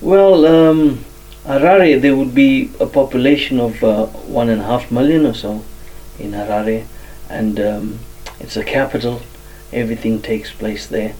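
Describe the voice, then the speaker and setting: A man's voice: medium pitch, fairly even intonation, unhurried, far from the mic, low-quality recording. A man from Zimbabwe, a guest sharing his personal story in a hesitant way, with many ums and uhs. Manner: many fillers, soft-spoken.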